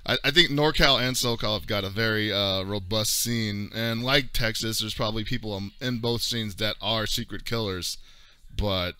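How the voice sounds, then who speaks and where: An easygoing male host interviews a guest, amused and encouraging. His voice sits low and sounds smooth and bright. The recording is dry, with no room sound. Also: normal speed, no room sound. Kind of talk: casual interview.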